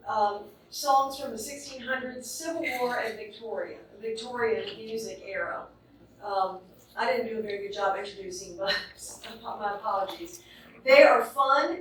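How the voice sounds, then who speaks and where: A woman hosting an event gives announcements and introduces the performers in an informal, slightly halting way. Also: on the high side, a bit bright. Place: indoor event hall. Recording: very echoey hall, recorded from far off.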